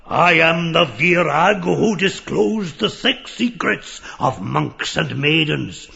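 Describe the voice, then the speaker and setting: Manic diabolic creature, high and creaky. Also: male voice, lively intonation, slow, pushed and bright, dull audio, full character voice. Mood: sly, lecturing. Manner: theatrical, erratic, howling and yelping. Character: diabolic grotesque creature, manic ranting heretic. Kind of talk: surreal audiobook stage play.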